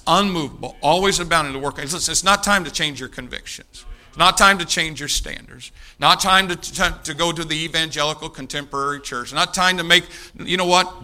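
Forceful middle-aged preacher, bright sharp voice. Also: male voice, mid-range voice. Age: 50s. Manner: emphatic, repeats key phrases, addresses listeners directly. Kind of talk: sermon, exhorting a congregation. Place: speaking live before an audience.

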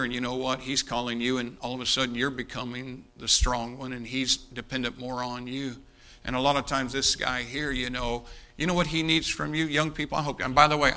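A man in his fifties preaches with a very bright, forceful voice at a medium pitch. He speaks straight to his listeners and drives points home by repeating phrases with heavy emphasis. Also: level tone, a little fast. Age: 50s. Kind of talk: sermon, exhorting a congregation. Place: speaking live before an audience.

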